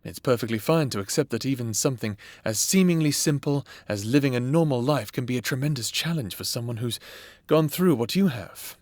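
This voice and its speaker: A man playing a patient government therapist in an audio roleplay, with measured, clinical and formal diction, close on the mic. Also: mid-range voice, a little mellow, no echo, lightly acted. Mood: calm, clinical. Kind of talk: cinematic audio roleplay acting, addressing the listener. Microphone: close, dry studio mic.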